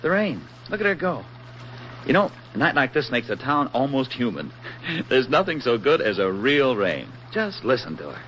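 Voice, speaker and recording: An actor playing an affable, eager young army private on furlough in a folksy, talkative 1940s radio drama style. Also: male voice, medium pitch, very animated, normal speed, a bit bright. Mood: exuberant. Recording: muffled, noisy old 1940s radio recording.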